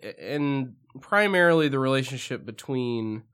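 A man co-hosting a casual movie review podcast, hoarse and losing his voice, talking in a rambling, hesitant way and laughing often. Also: mid-range voice, expressive, moderate pace, a bit bright. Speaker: podcast co-host.

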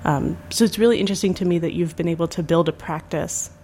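A woman's voice: lowish voice, fast talker, a little mellow, a little hiss or hum. A woman hosting a podcast interview, asking questions in a friendly conversational way. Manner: conversational, affirming, light laughs. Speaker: podcast host.

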